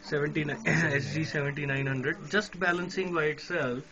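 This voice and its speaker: A man's voice: a little high, fairly even intonation, moderate pace, a crowd in the background. A man with an Indian accent, chatting casually as a ham radio operator, laughing often, outdoors at night in the rain.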